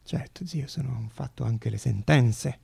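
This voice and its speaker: An actor playing a small, idealistic man who pleads earnestly and haltingly in a hushed, theatrical radio monologue. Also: mid-range voice, creaky, breathy edge, voice pushed down, exaggerated voice. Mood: hushed pleading. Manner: hushed, halting, insistent, with whispered phrases.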